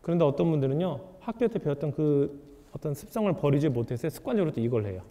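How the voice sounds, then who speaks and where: A man giving an author's lecture to an audience, putting rhetorical questions to the room in a lively way. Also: on the high side, fast talker. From South Korea. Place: lecture hall. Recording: reverberant lecture hall.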